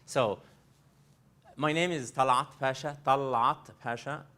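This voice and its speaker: A man's voice: mid-range voice, steady tone, slow pace, pushed and bright. A man from Egypt, a coach presenting a live workshop to an audience. He speaks earnestly, often restarting and repeating phrases as he addresses the room directly. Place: library event room.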